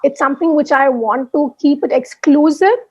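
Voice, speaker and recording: Indian woman guest, high pitch, quick candid chatter with lots of restarts, video call. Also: darkish tone. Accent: Indian English. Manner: chatty, fast with frequent restarts and fillers. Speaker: blogger guest. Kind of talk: candid interview chat.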